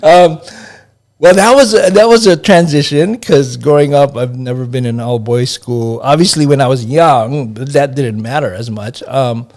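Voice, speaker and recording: A middle-aged man from Hawaii, a guest in an interview, talking in a relaxed, hesitant way with many fillers and restarts. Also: medium pitch, moderate pace. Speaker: interview guest, accounting professor.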